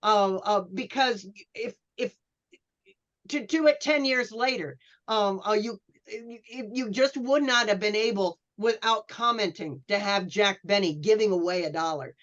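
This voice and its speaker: A woman speaking as a panelist in an analytical, scholarly way, with halting phrasing. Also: mid-range voice, a bit bright, highs cut off. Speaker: podcast panelist.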